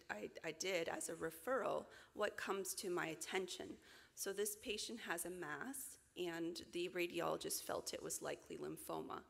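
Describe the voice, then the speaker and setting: Raspy-voiced woman lecturing from a podium. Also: lowish voice. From Canada. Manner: measured, with frequent ums and restarts. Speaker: physician lecturer. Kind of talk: conference lecture. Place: conference hall, at a podium. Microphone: podium microphone. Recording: reverberant hall, from camera.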